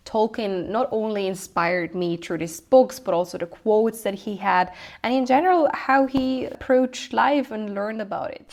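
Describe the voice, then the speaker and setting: Young swiss woman, swiss german accent, soft reflective vlog voice, english not her first language. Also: mid-range voice. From Switzerland. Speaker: lifestyle vlogger. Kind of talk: scripted personal introduction.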